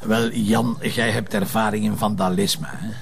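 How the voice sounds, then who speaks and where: An actor playing a grumpy, sarcastic police commissioner with a curt, dismissive Flemish delivery in a naturalistic TV drama. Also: male voice, lowish voice, steady tone, measured pace, creaky. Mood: irritated, sarcastic.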